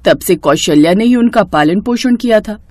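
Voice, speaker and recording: A woman's voice: mid-range voice, expressive, moderate pace, dark tone, no room sound, clean studio sound. A woman narrating a scripted story in storybook style.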